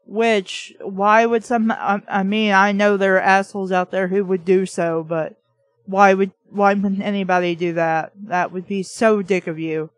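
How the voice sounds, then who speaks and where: A woman's voice: medium pitch, fairly even intonation, unhurried, no room sound. A woman reading a book aloud and breaking in with blunt, sarcastic, profane commentary, arguing back at the text. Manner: blunt, sweary, mocking; opinionated, argues back at the text.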